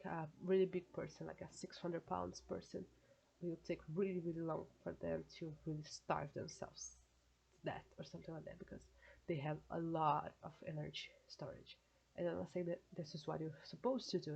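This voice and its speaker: A woman with a Brazilian accent talks to her audience in a loose, filler-heavy way. Her voice is low, gravelly and mellow, a little stuffy from a cold. The recording sounds dull and lo-fi. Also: steady tone, moderate pace. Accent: Brazilian Portuguese. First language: Portuguese. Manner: chatty, many fillers, self-correcting phrasing. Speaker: reaction video creator. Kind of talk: casual solo commentary.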